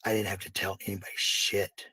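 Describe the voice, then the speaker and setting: A man's voice: low-pitched, little pitch movement, fairly quick, bright, forceful tone, husky, no room sound. A man telling personal stories in a casual, blunt, defensive way, with some swearing. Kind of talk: casual personal storytelling and venting.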